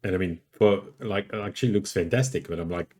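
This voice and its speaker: A man with a deep, gruff voice giving casual advice on content creation in a rambling way, full of restarts. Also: normal speed, darkish tone. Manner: rambling, many restarts and fillers. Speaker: podcast host.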